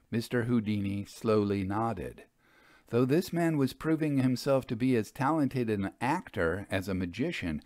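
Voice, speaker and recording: A man reading a period mystery story as scripted narration, in a measured, literary and expressive manner. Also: fairly low pitch, no echo, clean studio sound.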